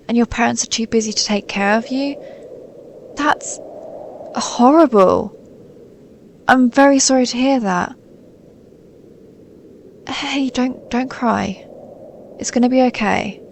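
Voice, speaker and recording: A woman playing a centuries-old snow maiden in an intimate audio roleplay, a gentle, stoic and melancholy guardian spirit who speaks in a soothing, formal, measured way. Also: medium pitch, vocal fry, slight background noise. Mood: calm, gracious, then tender, consoling. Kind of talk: scripted audio roleplay, intimate monologue to listener.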